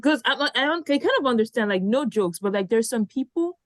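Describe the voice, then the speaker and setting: A woman's voice: a little high, animated, fairly quick, no echo, studio quality. A woman co-hosting a podcast, chatting animatedly and stumbling through "like" and restarts. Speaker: podcast co-host. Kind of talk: casual chat.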